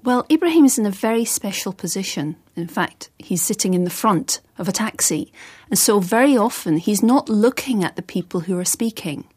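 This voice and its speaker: A woman English teacher giving calm, explanatory advice on a radio programme. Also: medium pitch, moderate pace, hardly any pauses, husky. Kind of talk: broadcast advice.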